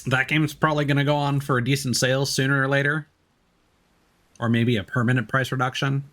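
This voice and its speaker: A man's voice: mid-range voice, flat delivery, a little fast, a bit bright. A man doing casual, rambling let's play commentary as a game streamer, chatty and easygoing.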